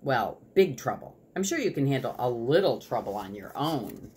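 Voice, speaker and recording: A woman voicing a retired rescue dog narrating a storybook, weary and wry, with deadpan, clipped delivery. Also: a bass voice, normal speed.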